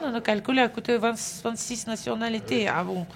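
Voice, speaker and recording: A woman with a gravelly voice speaks earnestly about shared life in the shelter, repeating words as she goes. Loud crowd chatter fills the background. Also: on the high side, fairly quick. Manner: earnest, repetitive phrasing. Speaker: shelter resident. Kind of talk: documentary interview.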